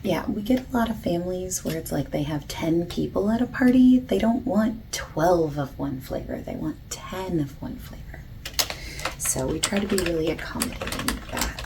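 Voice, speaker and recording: A woman playing a friendly, patient bakery salesperson in a soft-spoken ASMR roleplay, often breathy and near a whisper, gently overexplaining. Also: animated, normal speed. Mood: soft, accommodating. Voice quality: low-pitched, creaky, soft. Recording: lo-fi hissy close recording, keyboard typing.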